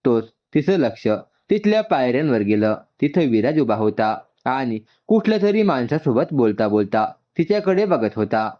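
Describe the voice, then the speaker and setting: Animated man narrating a story, slightly dull sound. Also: mid-range voice, normal speed, hardly any pauses. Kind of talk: scripted story narration with dialogue.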